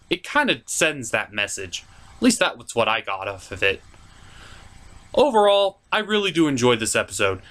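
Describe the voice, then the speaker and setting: A man's voice: a little high, sharp tone. A man giving scripted, comedic review commentary with theatrical, mock-incredulous emphasis.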